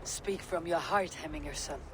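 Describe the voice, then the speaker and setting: Steadfast Viking fighter, male actor, reverent and formal, voice a touch high, video game drama, clear background noise. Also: normal speed, close to an everyday voice. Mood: solemn. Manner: grave, terse, formal. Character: loyal, reverent Viking warrior, grave and steady.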